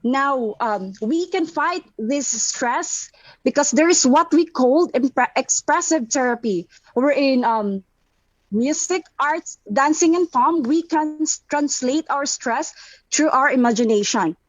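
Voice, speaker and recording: A woman's voice: sits high, lively intonation, slow, dry recording. A woman with a Filipino accent, a teacher giving prepared feedback in an online seminar over a call. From Philippines. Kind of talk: prepared spoken testimonial. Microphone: online video call, camera off. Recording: muffled lo-fi online call audio.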